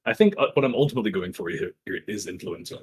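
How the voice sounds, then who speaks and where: A man's voice: low-pitched, animated, fast talker, breathy edge. A man talking casually as a player in a tabletop roleplaying game.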